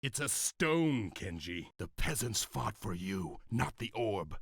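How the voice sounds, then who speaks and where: A fiery samurai warrior in a game cutscene. His delivery is forceful and urgent, with animated pitch. The recording is close and dry. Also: takes it slowly, creaky, dry recording, full character voice. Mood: pleading. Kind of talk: video game cutscene acting.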